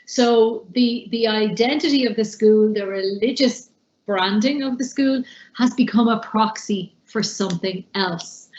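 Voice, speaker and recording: A woman panelist speaks reflectively and sets out her points one after another. Her voice is mid-pitched. The audio echoes and sounds dull. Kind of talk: panel contribution.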